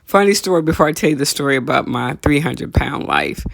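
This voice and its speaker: A woman's voice: low voice, animated, brisk, creaky, no echo. A middle-aged woman hosting a casual comedic talk, conversational and teasing, repeating phrases for emphasis. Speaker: podcast host.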